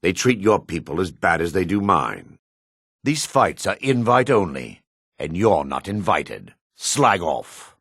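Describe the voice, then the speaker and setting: Male dark elf, fantasy game character, husky, dry close recording, jaded and contemptuous. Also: deep voice, very animated, a little slow, no echo, heavily acted. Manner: sardonic, disdainful. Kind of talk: video game character voice acting.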